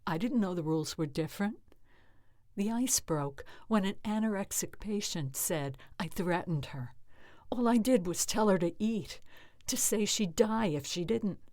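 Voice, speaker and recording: A woman reading a short story in the first person as a weathered, frightened, bitter psychiatric patient in custody, with emotive delivery that turns hushed. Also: mid-range voice, expressive, a little slow, no echo, studio quality, close to an everyday voice. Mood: indignant, distressed. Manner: emotive, hushed at fearful moments.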